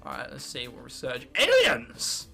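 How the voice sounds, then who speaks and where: A man's voice: mid-range voice, wildly swooping pitch, unhurried, gravelly, pushed and bright, soft background music. A young man of about nineteen with an English accent, giving casual, jokey gaming commentary and reading game text aloud. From England. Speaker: gaming video host.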